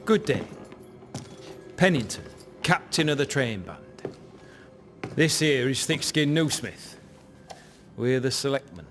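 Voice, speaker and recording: Grave militia captain, unhurried, mid-pitched, blunt period game delivery. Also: male voice, lively intonation, a bit bright. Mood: curt. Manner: blunt, grave, plain-spoken. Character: blunt, soldierly male militia captain of colonial town. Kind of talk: period drama game acting. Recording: music under the voice.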